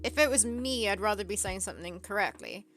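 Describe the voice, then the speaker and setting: A woman chatting casually with her co-host on a game development stream, talkative and quick to laugh. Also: mid-range voice, fairly bright tone, soft background music. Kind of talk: casual chat on a live stream.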